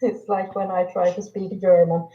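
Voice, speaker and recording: A woman's voice: fairly low pitch, level tone, normal speed. A woman from Finland, a guest on a casual livestream, chatting with dry, teasing humor. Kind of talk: casual chat on a call. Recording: echoey, dull remote audio.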